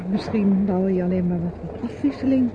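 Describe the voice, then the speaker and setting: An actress playing a polite, guarded young niece in a radio play, halting and evasive. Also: female voice, medium pitch, close to an everyday voice. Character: hesitant, guarded young niece, politely accompanying her aunt. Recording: dull lo-fi, clearly noisy old radio recording.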